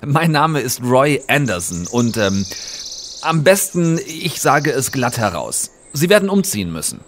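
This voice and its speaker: Hesitant young negotiator, animated pitch. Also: male voice, unhurried, a little hiss or hum. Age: young adult. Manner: hesitant, stammering, polite but pressing. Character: impatient, awkward young corporate negotiator. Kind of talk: German audio drama acting.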